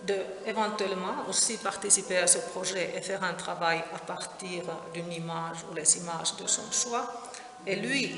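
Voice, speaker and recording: Middle-aged woman, halting delivery full of pauses and restarts, slightly gravelly, echoey room with music behind. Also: fairly low pitch. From Finland. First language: Finnish. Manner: hesitant, many fillers and restarts. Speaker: visual artist. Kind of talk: interview at her exhibition. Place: gallery space with sound installation playing. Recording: roomy echo, music in background.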